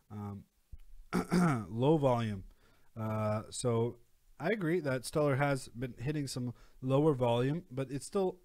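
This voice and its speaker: Young guy rambling over crypto charts, lots of uh and um, voice getting a bit hoarse, mid pitch. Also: darkish tone. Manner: rambling, many fillers and restarts. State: losing his voice. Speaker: crypto channel host. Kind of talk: casual screen-share chart commentary.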